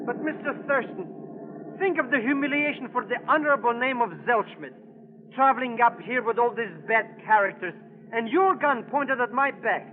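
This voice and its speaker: An actor playing a whining, florid petty profiteer in an old radio drama, pleading melodramatically. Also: male voice, very high-pitched, moderate pace. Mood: indignant pleading. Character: whining, wheedling comic petty profiteer, a small-time schemer. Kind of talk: 1940s radio acting. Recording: phone-like old radio, reverberant, noisy.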